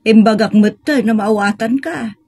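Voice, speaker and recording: Woman acting a husky, patient older wife. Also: on the high side, normal speed, mellow, no echo, studio quality. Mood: earnest, reassuring. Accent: Ilocano. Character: patient, devout older wife counseling her troubled husband. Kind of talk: Filipino audio drama acting.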